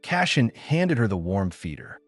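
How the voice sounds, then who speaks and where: Low-pitched man narrating, lively intonation. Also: unhurried, no room sound, faint music behind. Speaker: audiobook narrator. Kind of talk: scripted dramatic narration of a sci-fi story.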